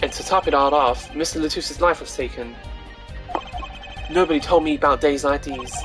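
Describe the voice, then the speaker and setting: A woman's voice: deep voice, moderate pace, music in the background, lightly acted. A woman voicing a cool but self-pitying young male prosecutor in a video game, complaining theatrically. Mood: aggrieved.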